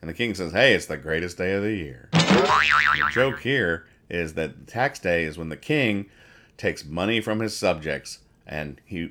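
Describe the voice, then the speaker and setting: A man hosting a casual comedy podcast, describing comic strips aloud and explaining their jokes in a rambling, halting, mock-serious way. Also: extremely low pitch, bright, forceful tone, slight background noise. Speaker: podcast host reading newspaper comics.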